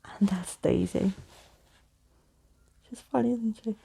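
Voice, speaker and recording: Soft-spoken woman storyteller, very creaky. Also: mid-range voice, slow. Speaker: storyteller, ASMR host. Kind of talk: soft-spoken ASMR book reading, not whispered.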